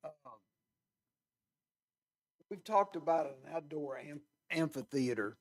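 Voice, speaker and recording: Council member, fading hoarse voice, quiet and courteous. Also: male voice, a little high, expressive, slow pace. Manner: soft-spoken, courteous questioning. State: losing his voice. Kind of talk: council meeting questioning.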